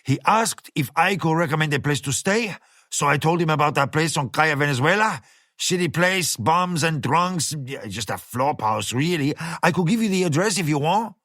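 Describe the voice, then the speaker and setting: Male narrator doing a nervous, profane fat manager, pitched up, dry close sound. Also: lively intonation, moderate pace, a bit bright, no room sound. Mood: panicky.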